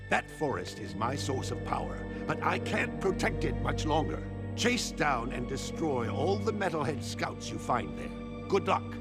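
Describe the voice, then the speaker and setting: Over loud music, a crusty game sage and rebel leader. His voice is creaky and rather high, brusque and pompous. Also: exaggerated voice. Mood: urgent. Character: crusty, grumpy male sage and resistance leader. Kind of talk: video game cutscene acting.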